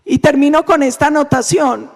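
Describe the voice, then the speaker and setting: A woman's voice: high voice, normal speed. A Colombian woman senator giving an emphatic political speech at a forum. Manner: emphatic, denouncing, steady delivery. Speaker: senator, panel speaker. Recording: long reverb of a conference hall.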